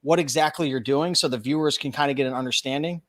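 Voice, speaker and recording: A man's voice: mid-range voice, fast talker, a bit bright. A man hosting an interview, asking friendly, leading questions in a casual tone. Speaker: host, interviewer.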